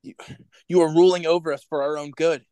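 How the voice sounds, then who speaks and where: A woman playing an anguished, fearful prisoner under torture in a radio drama, with halting, pleading, broken delivery. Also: sits low, fairly even intonation, background noise, lightly acted. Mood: puzzled, halting. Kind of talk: theatrical radio drama acting.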